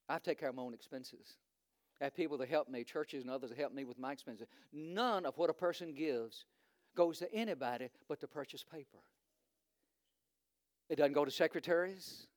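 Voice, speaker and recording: A man's voice: mid-range voice, no room sound, clean studio sound. A man from Virginia with a Virginian accent, preaching a sermon as a visiting preacher, fervent and building to emphatic repetition. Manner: fervent, rising to emphatic preaching with frequent uh fillers.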